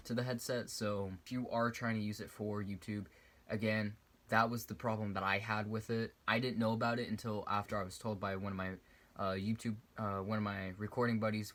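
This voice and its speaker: A man's voice: lowish voice, fairly bright tone. A young man giving a casual, rambling video review, often restarting sentences and drifting off topic. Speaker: YouTuber reviewing a VR headset. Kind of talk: casual product review vlog.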